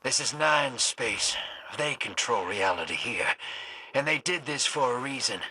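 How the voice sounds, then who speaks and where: An actor playing a brash, sarcastic rogue in a video game, irreverent and quick to irritation. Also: male voice, medium pitch, animated, bright, forceful tone, slight background noise, poor audio. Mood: matter-of-fact. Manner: wisecracking, irreverent. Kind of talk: video game cutscene voice acting.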